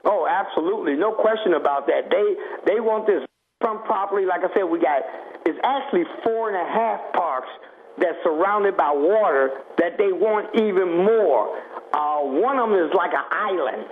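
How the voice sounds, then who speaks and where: A man's voice: sits high, normal speed. A man, a reverend and activist, giving an emphatic report as a radio guest, repeating words for emphasis. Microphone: telephone. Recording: thin phone line with faint noise.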